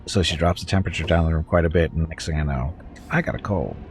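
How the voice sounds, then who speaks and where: Low-voiced man streaming with a head cold. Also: fast, soft background music. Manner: chatty, wry, talks to viewers in chat. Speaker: streamer playing a video game. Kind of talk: casual livestream chat and reading game text aloud.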